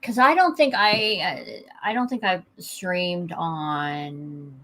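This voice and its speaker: A woman hosting a casual evening chat stream, talking in a relaxed way with many fillers and restarts, her voice fairly flat in tone. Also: fairly low pitch, slow pace. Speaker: host.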